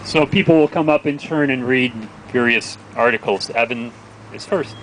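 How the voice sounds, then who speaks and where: A man with a bright, forceful voice hosts an outdoor gathering in a town plaza. He speaks informally and earnestly, urging people on. Faint street and traffic noise runs behind him. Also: medium pitch, moderate pace, rough recording. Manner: earnest, exhortatory. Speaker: event host introducing readers. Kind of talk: informal public address at an outdoor rally.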